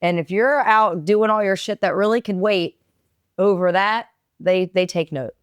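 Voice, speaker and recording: A woman with a smooth radio-style voice, slightly hoarse, co-hosting a candid chat from bed, animated and teasing. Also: mid-range voice, measured pace, dry recording, clean studio sound. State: slightly hoarse from a lingering tickle. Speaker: podcast co-host. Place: in bed at home.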